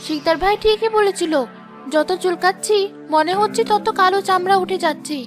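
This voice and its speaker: A woman acts out a vain, gullible young village wife in a cartoon drama. The voice is extremely high and childlike, whiny and theatrical, with music playing behind it. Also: normal speed. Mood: distressed. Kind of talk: Bengali cartoon moral drama voice acting.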